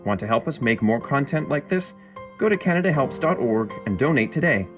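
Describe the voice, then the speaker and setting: A man's voice: mid-range voice, expressive, a little fast. A man reading a scripted promotional message as the announcer for a theatre group. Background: music.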